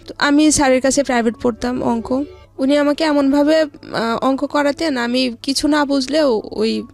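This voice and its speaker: High-pitched, creaky-voiced woman telling her story. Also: fairly even intonation, moderate pace. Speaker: guest telling own life story. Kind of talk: personal life-story narration.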